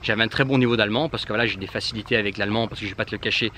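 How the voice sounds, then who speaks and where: Fast-talking man with a faint background hum. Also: lowish voice, fairly even intonation, pushed and bright. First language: a Germanic language. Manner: informal, addresses the viewer directly, many fillers and restarts. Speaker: vlogger giving language-learning advice. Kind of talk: casual direct-to-camera advice.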